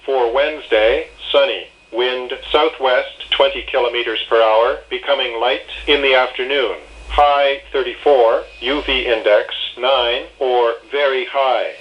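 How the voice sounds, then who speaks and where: A synthetic male announcer with a medium-pitched voice delivers an automated, scripted weather bulletin. The phrasing is segmented, item by item. It comes through a dull, lo-fi radio broadcast. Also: fairly even intonation, unhurried, few pauses.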